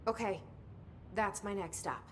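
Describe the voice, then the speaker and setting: An actress playing a determined young newcomer in a video game, speaking in a direct, reserved, clipped way. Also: female voice, mid-range voice, unhurried, fairly bright tone, a little hiss or hum, lightly acted. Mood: questioning. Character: terse, determined young woman newcomer seeking answers. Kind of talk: game cutscene dialogue.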